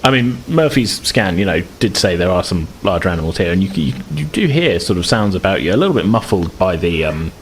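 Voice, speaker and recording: A low-pitched man runs a tabletop role-playing game in a casual way. He feels his way through sentences, hesitating and thinking aloud. There is clear noise and room ambience behind him. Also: very animated, a little fast, hardly any pauses. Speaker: game master.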